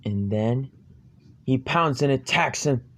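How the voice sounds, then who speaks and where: A man improvising an amateur campfire horror story in a halting, ominous manner. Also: medium pitch, expressive, fairly bright tone. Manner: halting, ominous, stumbling over phrases.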